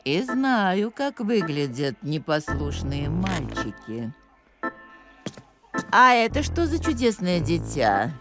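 Bossy stuck-up nanny lady from a cartoon, voice swings all over, loud music under it. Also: fairly low pitch, sing-song, slow pace. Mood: stern. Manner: imperious, condescending. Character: strict, haughty, bossy older nanny, seasoned teacher. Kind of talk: cartoon voice acting.

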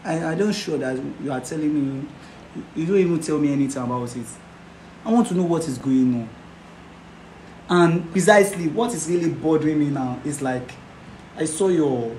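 A man's voice: a little high, dark tone, natural voice. An actor playing a young man who pleads and repeats himself, breaking into laughing sobs. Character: young man heartbroken by betrayal. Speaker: betrayed boyfriend. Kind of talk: amateur skit acting. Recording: roomy echo, faint noise.

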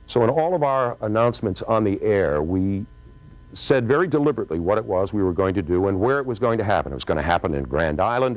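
An American man in his fifties recalling events in an interview, speaking in a deliberate, emphatic storytelling way. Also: lowish voice, a little mellow, no room sound, rough recording. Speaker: former radio producer recalling a broadcast. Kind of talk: interview recollection. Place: TV studio with curtain backdrop.

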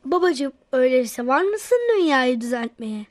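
A woman's voice: high-pitched, animated, a little slow, no room sound, studio quality. A woman voicing an eager, wheedling little boy in a children's cartoon, sweet to his elders. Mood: proud, eager.

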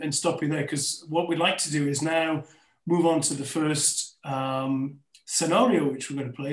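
Male webinar moderator, middle-aged, slightly high voice, echoey room. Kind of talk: hosting an online panel discussion. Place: indoors on a video call. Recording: roomy, echoing webcam audio.

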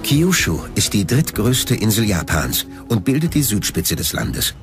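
A man narrating a scripted documentary in a measured, factual manner, recorded as a studio voice-over. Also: lowish voice, big pitch swings. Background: background music.

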